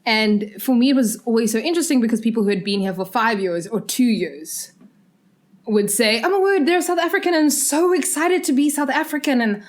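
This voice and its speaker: A young woman from Zimbabwe hosting a podcast interview, talking in a relaxed, conversational way with personal asides. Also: on the high side, fairly quick. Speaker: podcast host.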